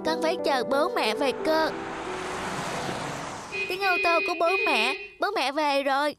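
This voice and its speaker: A woman's voice: sits high, unhurried. A woman voicing a sweet six-year-old boy who speaks in an earnest, childlike way in a cartoon. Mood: eager. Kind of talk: cartoon voice acting. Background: music.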